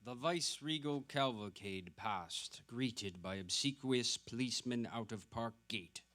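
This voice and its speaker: A man's voice: mid-range voice, measured pace, bright, forceful tone. A man narrating literary prose in a dramatic stage reading.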